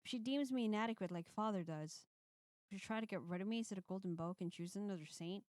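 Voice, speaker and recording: A woman streamer reading a visual novel aloud, expressive, casual and blunt between passages. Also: mid-range voice, fast, dry recording, clean studio sound.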